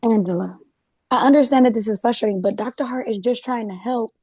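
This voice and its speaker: A woman acts a conciliatory clinic staffer in a drama. Her voice is mellow and a little high, close and dry, and she reasons gently to keep the peace. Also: a little fast, breathy edge, no echo. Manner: soothing, reasoning. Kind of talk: dramatic table read.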